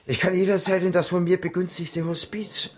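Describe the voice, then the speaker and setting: An actor playing a gravely ill old man, weary and darkly wry in a radio drama. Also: sits high, little pitch movement, fairly quick, natural voice. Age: older. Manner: weary, fatalistic.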